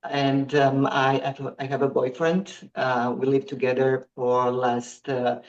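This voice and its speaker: Woman, 50s, podcast guest; unhurried, halting delivery full of ums. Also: very deep voice, flat delivery, darkish tone, highs cut off. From Croatia. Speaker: guest athlete. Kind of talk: podcast interview.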